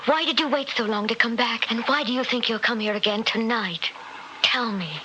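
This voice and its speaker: An actress playing an anguished, pleading widow in a 1960s radio drama, speaking with emotional, breathy urgency. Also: female voice, fairly high pitch, moderate pace, pushed and bright, lo-fi sound. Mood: anxious, questioning. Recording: noisy old radio recording.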